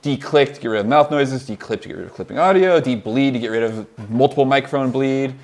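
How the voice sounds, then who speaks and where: A young man with a Californian accent, casually demonstrating audio software on a live stream, rambling excitedly with lots of "like" and laughs. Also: mid-range voice, quick pace. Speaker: audio mentor.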